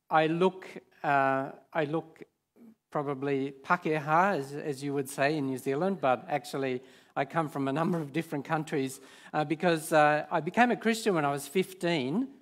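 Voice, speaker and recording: A man in his fifties, born in Sweden with Swedish as his first language, preaching on a large church stage in a relaxed, hesitant way full of fillers. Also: on the high side. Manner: hesitant, many fillers and restarts. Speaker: guest preacher. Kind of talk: informal sermon.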